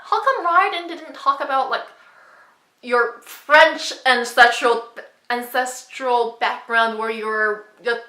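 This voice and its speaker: A woman with a high, very lively voice speaks straight to camera like an opinionated vlogger. She makes her points emphatically, and the room around her sounds echoey. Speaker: book vlogger. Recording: roomy echo.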